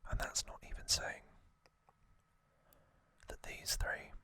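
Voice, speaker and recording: A man whispers an informal ASMR commentary. His delivery is hushed and very slow, halting between short phrases. The whisper is extremely bright and crisp-edged, with little melodic movement. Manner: hushed, unhurried, halting with pauses. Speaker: host of an ASMR channel.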